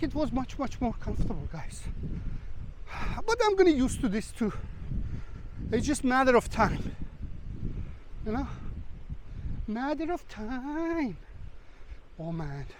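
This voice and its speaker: A man with a strikingly high voice rambles to his viewers as he walks along the shore. His English is loose and broken, and his talk is chatty. Wind buffets the handheld camera mic throughout. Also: very animated, a little slow, pauses often. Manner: chatty, non-native English with broken grammar. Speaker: travel vlogger. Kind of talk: casual walking vlog chat. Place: outdoors on a windy beach. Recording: wind noise on the mic outdoors. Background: wind and outdoor ambience.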